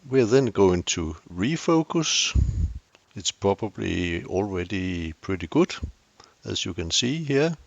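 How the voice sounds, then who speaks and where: Older Danish man, gravelly voice. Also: deep voice, sing-song, dry recording, lo-fi sound. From Denmark. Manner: dry self-deprecating humor, deliberate explanations. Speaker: tutorial host. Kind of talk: camera tutorial demonstration.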